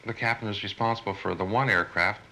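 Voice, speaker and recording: Deep-voiced man, bright and forceful. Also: steady tone, fast talker, vocal fry, poor audio. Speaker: air traffic controller. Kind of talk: interview, recounting work experience. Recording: faint noise, 1970s archive TV film.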